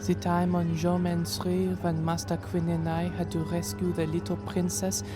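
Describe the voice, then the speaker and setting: A woman playing an earnest young female Jedi apprentice who speaks haltingly in a dramatic comic dub. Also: lowish voice, completely flat delivery, loud music behind the voice, natural voice. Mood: solemn, grateful.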